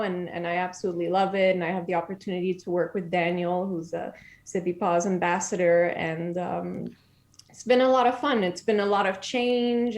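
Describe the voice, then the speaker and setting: Chatty woman in her twenties. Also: mid-range voice. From born in Germany, raised in France and Brazil. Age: 20s. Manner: chatty, frequent restarts, laughs easily. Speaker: podcast guest. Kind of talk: casual interview chat.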